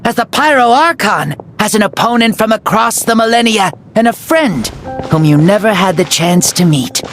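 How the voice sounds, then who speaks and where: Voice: medium pitch, animated, normal speed, fairly bright tone, close to an everyday voice. An actor voicing an ancient, proud male warrior hero who speaks grandly through a boy's body in a video game. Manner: grandiose, declamatory. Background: game music.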